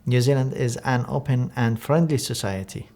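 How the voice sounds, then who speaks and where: A man from Iraq reading scripted lines for an information video in a measured, serious way. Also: mid-range voice, darkish tone. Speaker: refugee speaker in an information video. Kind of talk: scripted narration.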